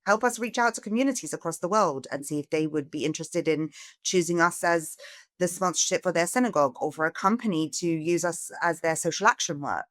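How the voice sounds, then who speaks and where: Woman, low pitch, dry close recording, earnest podcast guest, middle-aged. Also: fairly quick, no room sound. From England. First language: English. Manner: earnest, animated when appealing for help. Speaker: guest, charity founder. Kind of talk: podcast interview.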